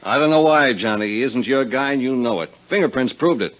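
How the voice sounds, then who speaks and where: An American actor playing a weary, blunt private detective and loyal pal in a 1950s radio drama, grumbling with exasperation. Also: male voice, mid-range voice, a little fast, fairly bright tone, lightly acted. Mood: exasperated. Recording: dull 1950s radio recording.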